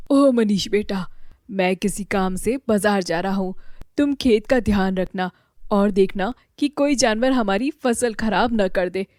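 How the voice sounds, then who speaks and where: A woman voicing a fussy, caring old grandfather who repeats himself slowly. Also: medium pitch, darkish tone, dry recording, clean studio sound, lightly acted. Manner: slow, repetitive fussing.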